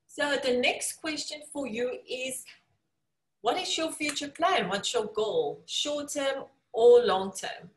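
A woman's voice: high voice, slow pace, reverberant, slight background noise. A woman interviewer who asks questions and compliments her guest's answers. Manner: encouraging, complimentary.

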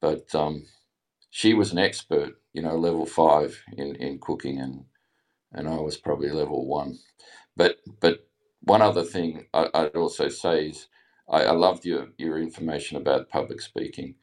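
Very deep-voiced Australian man. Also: fairly even intonation, a little mellow. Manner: halting, many ums and restarts. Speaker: caller. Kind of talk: casual chat.

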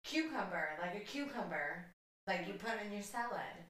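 Bright woman in a very echoey room. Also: medium pitch, expressive, normal speed, distant mic. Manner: playful, pushy, eggs her friend on. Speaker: podcast co-host. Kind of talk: casual chat.

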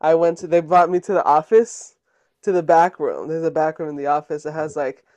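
A young woman co-hosts a laid-back podcast, talking in a playful, teasing way. She giggles often and trades quick banter with her co-host. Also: deep voice, a little mellow. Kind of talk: casual chat.

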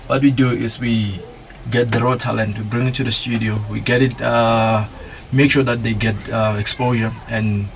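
A man hosting a radio show from a studio, courteous and unhurried, filling gaps with ums and uhs. His voice sits at an ordinary middle pitch, with a faint hiss of noise under it. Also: rough recording. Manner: polite, hesitant with many fillers. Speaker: radio host. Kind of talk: community radio interview.

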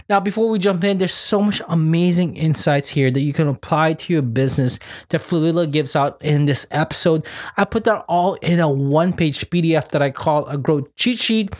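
Upbeat male podcast host, high voice. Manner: enthusiastic, quick ad reads with restarts. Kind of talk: energetic podcast interview.

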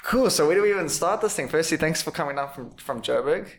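A man's voice: on the high side, lively intonation, fast. A man hosting a podcast in a casual, conversational interview style. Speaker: podcast host.

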